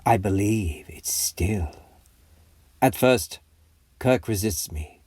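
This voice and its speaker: An actor playing a grandiose, idealistic rebel leader who narrates an audio comic drama in a theatrical, deliberate way. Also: male voice, lowish voice, lively intonation, a little mellow, breathy edge, heavily acted.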